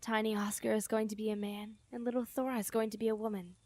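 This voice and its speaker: Brisk guardian mother, played by an actress, dry sound. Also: a little high, flat delivery, a little mellow, no room sound, lightly acted. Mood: firm. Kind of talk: fantasy audio drama acting.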